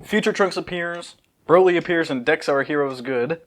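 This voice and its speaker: A man's voice: a little high, moderate pace. A man reading a fan fiction outline aloud as a podcast host, breaking off often to comment and joke.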